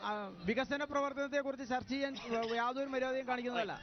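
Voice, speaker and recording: A man's voice: extremely high pitch, little pitch movement, fast. A man giving a formal political statement as a party representative. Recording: muffled, loud outdoor crowd.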